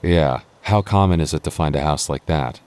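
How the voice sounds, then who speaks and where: Very deep-voiced young goth boyfriend, acted by a man. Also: moderate pace, a little mellow. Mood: hesitant, hopeful. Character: protective, jealous, tender goth boyfriend on a spooky adventure. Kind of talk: boyfriend ASMR roleplay, acted conversationally to the listener.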